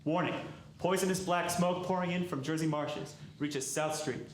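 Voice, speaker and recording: A man's voice: fairly high pitch, normal speed, close to an everyday voice. A man playing an urgent emergency radio operator in a 1930s-style radio drama, giving clipped official warnings. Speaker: actor. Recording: very echoey live hall, faint noise.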